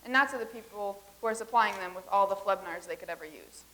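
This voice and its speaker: A woman's voice: medium pitch, fast talker, pushed and bright, lightly acted. An actress playing a matter-of-fact woman from the far future in a staged comedy reading.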